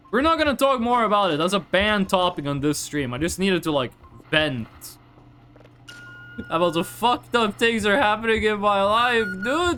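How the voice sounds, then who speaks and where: A man's voice: sits high, fairly bright tone, a little hiss or hum. A young man from Northern Norway, a Norwegian native speaker with a Northern Norwegian accent, chatting casually on a game livestream, rambling through stories with mock-formal asides. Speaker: streamer. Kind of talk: casual livestream chat while gaming.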